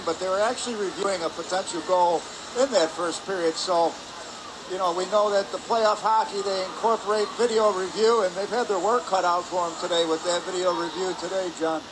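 A man giving conversational, slightly halting colour commentary at a live hockey game. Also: sits high, fairly even intonation. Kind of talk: live sports analysis. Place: spectator area of an indoor ice rink. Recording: noisy arena hum behind the voice.

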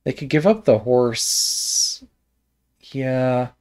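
A man reviewing a board game, thinking aloud in a hesitant, musing way with many pauses and trailing thoughts, with a slight Midwest American accent. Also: mid-range voice, expressive, a little mellow. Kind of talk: casual game commentary while thinking aloud.

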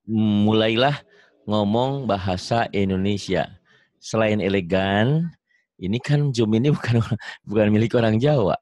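A man with a Sundanese accent teaching Quran reading over a video call, drawing out syllables slowly, correcting and praising a student. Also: on the low side, darkish tone, no room sound. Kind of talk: online reading lesson.

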